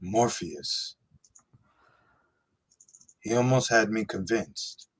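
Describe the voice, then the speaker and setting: A man voicing an uncertain young man with a husky voice and a terse, low-key manner in a radio play. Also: extremely slow, full character voice, rough recording. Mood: subdued. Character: uncertain, doubtful young man, a reluctant chosen one.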